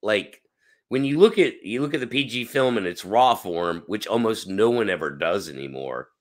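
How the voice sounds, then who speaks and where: A man hosting an interview over a video call from home, animated and rambling with many fillers, his voice hoarse and cracking. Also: fairly low pitch, moderate pace. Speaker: host. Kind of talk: casual interview chat. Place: home room. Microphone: webcam on a video call.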